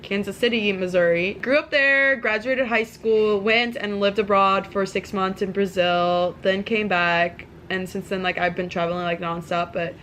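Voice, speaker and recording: A woman's voice: mid-range voice, fairly even intonation, moderate pace, hardly any pauses, a bit bright, a little hiss or hum. Giggly young woman chatting casually. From Iran. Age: 20s. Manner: giggly, chatty, many "like" fillers. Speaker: co-host. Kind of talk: casual chat.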